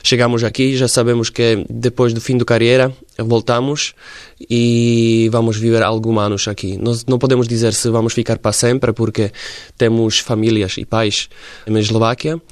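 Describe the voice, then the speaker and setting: A man in his forties from Slovakia, speaking Portuguese with a Slovak accent as a radio interview guest, pausing often to search for words. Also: medium pitch, level tone, dry recording, clean studio sound. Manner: halting, searching for words in a second language. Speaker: interview guest, former footballer.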